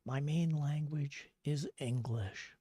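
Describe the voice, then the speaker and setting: A man's voice: a little high, slow, mellow, slightly rough, dry recording, clean studio sound. An older man, chatting casually on his livestream in a gushing way, with lots of fillers. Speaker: streamer.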